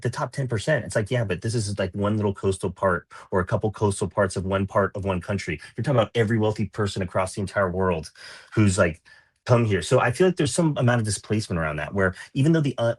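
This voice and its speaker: A man from Peru chatting casually as a podcast panelist, rambling in an animated way and acting out imagined remarks. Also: lowish voice, slightly rough. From Lima, Peru. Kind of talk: casual political discussion.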